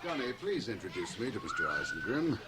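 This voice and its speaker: Urbane, animated, patronizing rich chairman. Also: mid-range voice, fairly quick. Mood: affable, curious. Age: middle-aged. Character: wealthy, elegant male board chairman, affable, condescending. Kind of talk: radio drama acting. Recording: 1980 radio drama, hissy cassette transfer.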